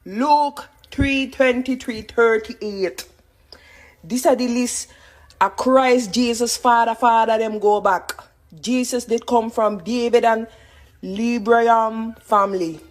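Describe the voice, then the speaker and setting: A woman, reading scripture aloud in a deliberate way. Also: a little high, steady tone.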